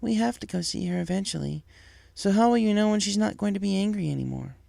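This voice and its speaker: A woman's voice: medium pitch, fast, vocal fry, mellow, dry recording. A woman playing an impatient wife and mother pony, speaking pointedly in a dramatic story reading. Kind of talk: dramatic fan fiction audio reading.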